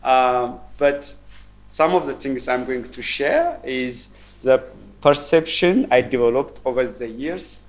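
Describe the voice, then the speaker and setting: Man in his forties, mid-pitched, measured keynote delivery at a university roundtable. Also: expressive. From Ethiopia. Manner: measured, thoughtful, frequent ums and repeated words. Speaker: keynote speaker. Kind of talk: conference presentation. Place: university roundtable event room.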